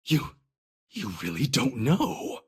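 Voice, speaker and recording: An actor playing an eccentric, mock-polite man who questions theatrically in a breathy, husky voice, for an anime game dub. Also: medium pitch, wildly swooping pitch, takes it slowly, full character voice. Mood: incredulous.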